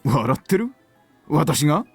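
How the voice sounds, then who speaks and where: A man's voice: fairly high pitch, sing-song, moderate pace, creaky, slightly rough, faint music behind, heavily acted. An actor playing a brooding, analytical middle-aged male detective in a Japanese visual novel. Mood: quietly surprised.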